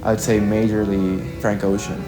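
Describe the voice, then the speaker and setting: Low-voiced young man. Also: level tone, over music. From Chile. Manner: reflective, halting, with frequent "like" and "kinda". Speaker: musician being interviewed. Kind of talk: casual interview answers.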